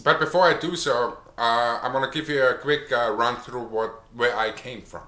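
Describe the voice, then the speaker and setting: Man, Austrian accent, middle-aged, bright clear tone, chatty and halting, echoey room. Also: medium pitch, fairly even intonation. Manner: chatty, repeats words and restarts with many uh's. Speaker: online marketer. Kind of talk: casual personal story to camera.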